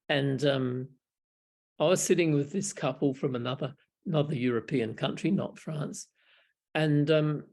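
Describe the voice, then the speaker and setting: A man's voice: fairly high pitch, gravelly, a little mellow. An older Australian man, a Buddhist monk, telling wry, reflective stories in casual talk over a video call from a small room at home. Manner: reflective, wry, chuckling, frequent restarts. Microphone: video call webcam.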